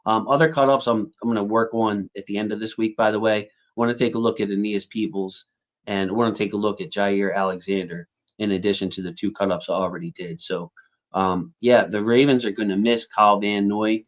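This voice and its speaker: A man hosting a casual football livestream, reading out viewer comments and thinking aloud with lots of fillers, and his voice has a slightly squeaky edge. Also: fairly even intonation, brisk. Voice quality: self-described squeaky voice. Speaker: football channel host reading live chat.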